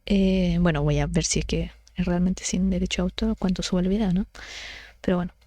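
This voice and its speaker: A woman's voice: lowish voice, fairly even intonation, fairly quick, warm tone, dry recording. A woman chatting casually as she explains things, thinking aloud and correcting herself in an informal way. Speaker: book study vlogger.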